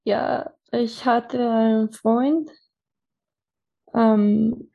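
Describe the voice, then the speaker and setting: A woman's voice: on the high side, steady tone, very slow, gravelly, dark tone, lo-fi sound. A woman with a Mexican accent, a native Spanish speaker, chatting casually and hesitantly as she searches for words. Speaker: interviewee. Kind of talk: casual chat.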